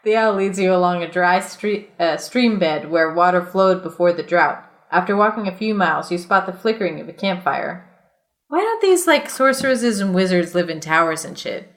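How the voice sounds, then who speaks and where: A woman's voice: fairly low pitch, lively intonation, moderate pace. A woman reading a story aloud in a casual, comedic way, giggly and irreverent, with sweary banter between passages.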